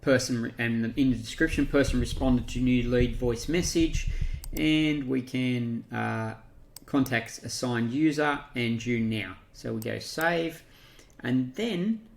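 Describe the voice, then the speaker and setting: An Australian man giving an unscripted software walkthrough, talking through each step haltingly as he thinks aloud. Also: mid-range voice, creaky. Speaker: software tutorial presenter. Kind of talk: unscripted screen-share walkthrough.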